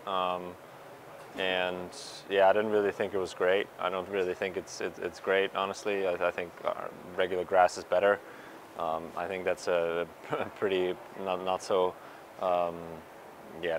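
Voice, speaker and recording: A man's voice: deep voice, a little hiss or hum. A young man, a pro soccer player, answering reporters after a game, hesitant with many fillers and restarts. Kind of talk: postgame media scrum. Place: stadium media area in front of sponsor backdrop. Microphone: reporters' handheld mics and recorders held close.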